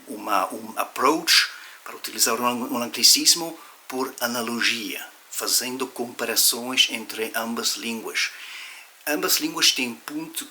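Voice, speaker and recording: A man's voice: medium pitch, sharp tone, slight background noise. A man in his fifties with a foreign, non-native accent, talking informally and explaining as he searches for his words. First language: not Portuguese, a second language. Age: 50s. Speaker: language vlogger. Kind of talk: informal motivational talk about language learning.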